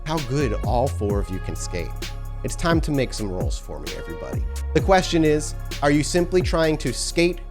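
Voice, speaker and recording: A man running a tabletop roleplaying game as storyteller, animated and quick to laugh. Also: medium pitch, faint music behind.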